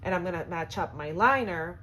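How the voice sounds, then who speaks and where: Hoarse, chatty woman sewing tutor. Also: on the low side, animated, fairly quick, fairly bright tone, a little hiss or hum. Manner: chatty, upbeat, lots of fillers. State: hoarse, voice cutting in and out. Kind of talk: casual how-to demonstration.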